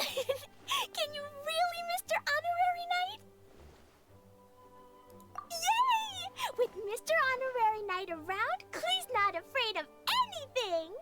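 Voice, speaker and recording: A woman voicing an excitable, innocent little girl with a childlike, squeaky voice in a video game. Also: slow, over music, full character voice. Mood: thrilled. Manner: eager, speaks in simple childish exclamations. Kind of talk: animated video game voice acting.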